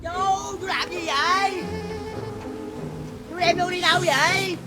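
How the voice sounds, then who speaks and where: Pleading young woman, played by a woman: high-pitched, whiny. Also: unhurried, loud music behind the voice. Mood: whining. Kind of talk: comedy skit acting.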